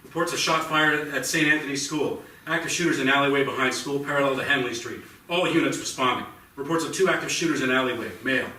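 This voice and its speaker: An actor playing an urgent police dispatcher, reading clipped official reports in a staged play. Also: male voice, medium pitch, quick pace, bright, forceful tone, lightly acted. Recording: roomy theatre, distant mic, faint noise.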